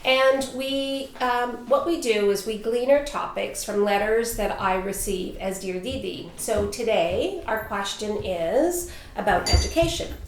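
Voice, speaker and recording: A woman's voice: medium pitch, a little hiss or hum. A woman hosting a talk show, welcoming her panel with a polished, inviting delivery. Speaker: host and life coach. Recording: echoey room.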